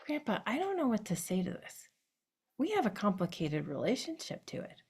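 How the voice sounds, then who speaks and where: A woman's voice: medium pitch, wildly swooping pitch, moderate pace, darkish tone, breathy edge. A woman performing a reflective autobiographical monologue on stage, speaking directly to her grandfather.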